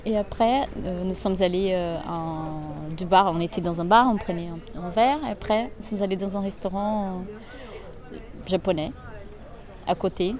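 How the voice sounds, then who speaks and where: A woman's voice: on the low side, normal speed, crowd noise. A woman from Brazil with a Brazilian accent, a Portuguese native speaker, telling a story and searching for words. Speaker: interviewee.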